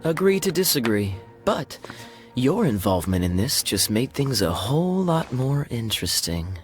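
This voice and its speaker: An actor voicing a suave young knight captain in a video game, smooth, teasing and theatrical. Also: male voice, medium pitch, very animated, normal speed. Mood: sly. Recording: game music behind the voice.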